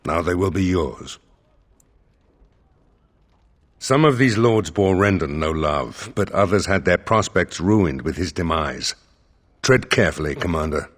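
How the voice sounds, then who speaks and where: Deep-voiced, formal seneschal. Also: male voice, expressive. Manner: formal, measured, deferential. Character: dutiful, wary seneschal advising his new commander. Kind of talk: scripted fantasy game dialogue.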